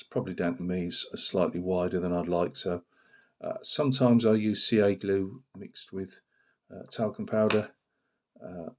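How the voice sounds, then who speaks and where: A man with a very low voice, hoarse from a cold. He talks about his hobby in a loose, rambling way, full of fillers and restarts. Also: darkish tone. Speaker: miniature painting channel host. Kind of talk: casual hobby talk to camera.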